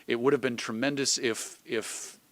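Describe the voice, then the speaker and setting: Bright-voiced American man, close and dry. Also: medium pitch, steady tone, normal speed, no room sound. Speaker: interview guest, magazine publisher and radio host. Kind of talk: conversational interview discussion.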